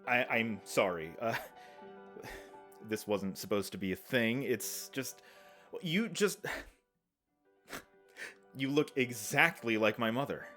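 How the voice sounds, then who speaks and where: A man's voice: medium pitch, takes it slowly, pauses often, pushed and bright, faint music behind. A man playing a desperate, earnest young former palace servant in an audio drama, stammering and pleading. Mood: stunned, emotional.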